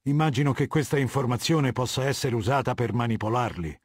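Video game warlord, male actor, middle-aged. Husky, imperious, measured authority; dry studio sound. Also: mid-range voice, no room sound, close to an everyday voice. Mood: cold, commanding.

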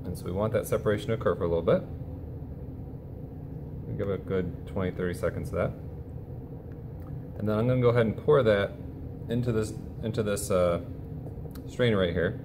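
A man with a very low voice walks through a cooking demonstration in a relaxed, explanatory way, leaning on casual fillers. He is recorded on a camera mic at an indoor bench, with a clear steady hiss of background noise under his voice. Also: brisk, pauses often. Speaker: teacher demonstrating a recipe.

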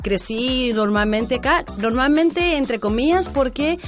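A woman from Guatemala, a guest entrepreneur, answering interview questions about her life in a relaxed way with many fillers. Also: high voice, a little slow, over music.

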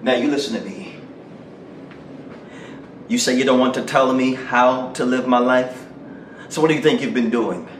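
A man performing a dramatic monologue as a defiant grown son confronting his overbearing father, emphatic and emotional, with deliberate pauses. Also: mid-range voice, close to an everyday voice. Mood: controlled anger. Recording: roomy, distant mic, loud background noise.

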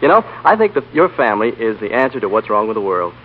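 An idealistic, excitable young man in a 1930s radio romance. He talks quickly and earnestly. The broadcast is muffled, with faint hiss. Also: on the low side. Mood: eager, admiring. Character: eager, boyish young banker in love, idealistic.

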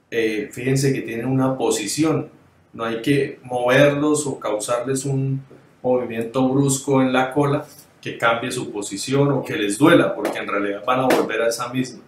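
A man's voice: mid-range voice, distant mic. A man giving an online dog grooming lesson over slides, explaining step by step, often checking in with his students and restarting words. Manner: halting, repeats and corrects words. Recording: echoey room, voice off-mic.

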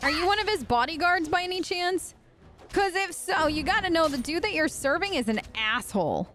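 A woman's voice: very high voice, expressive, brisk, fairly bright tone, soft background music. A woman doing chatty, sarcastic in-character commentary over a video game, close on the mic. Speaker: gaming video host.

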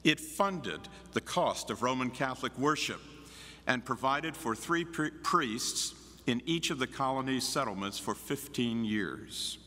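An older man with a North American accent delivering a formal lecture in a measured, deliberate way. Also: medium pitch, expressive, bright, forceful tone. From United States, Washington DC. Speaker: visiting academic speaker. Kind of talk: formal lecture read from manuscript. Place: large church chapel, at lectern. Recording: echoey large chapel, long reverb.

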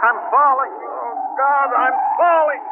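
A man's voice: very high-pitched, unhurried, gravelly, full character voice. An actor playing a frightened spaceship crewman in a 1950s radio drama. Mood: terrified. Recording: tinny 1950s radio, loud noise.

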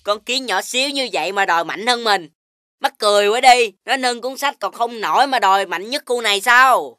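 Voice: mid-range voice, moderate pace, pushed and bright, no room sound, studio quality, natural voice. A performer voicing a cocky but good-natured big-bellied child strongman with exaggerated bragging, in a children's cartoon with music underneath. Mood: mocking.

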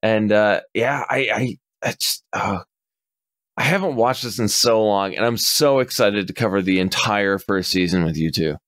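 A man in his forties talks casually on a podcast, his voice sitting on the low side with a dry, close sound. He gets animated, curses freely and slips into quick impressions of customers' lines. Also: dry recording. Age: 40s. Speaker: podcast co-host.

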